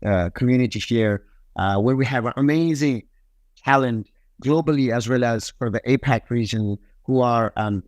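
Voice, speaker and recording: A man from Nepal with a Nepali accent answering interview questions conversationally, in halting phrases full of fillers. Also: medium pitch, a little mellow, no echo. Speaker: podcast guest, tech company executive.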